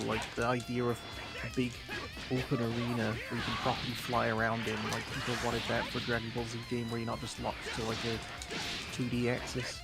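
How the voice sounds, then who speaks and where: A man with a slightly nasal voice and a gravelly edge talks loosely through a stream chat, restarting and trailing off mid-thought. He is on a new microphone that has not been set up. Music plays clearly behind him. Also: medium pitch. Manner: rambling, many restarts and trailing sentences. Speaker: co-host of a gaming stream. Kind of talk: casual gaming chat. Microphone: new microphone not yet set up. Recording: background music clearly heard.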